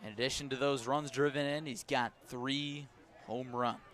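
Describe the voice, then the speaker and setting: Guy doing baseball play by play, kinda high voice, short punchy calls, out at the ballpark. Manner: clipped, descriptive phrases, rising to excited calls on action. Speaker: play-by-play sports announcer. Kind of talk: live baseball radio play-by-play commentary. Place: outdoors at a ballpark.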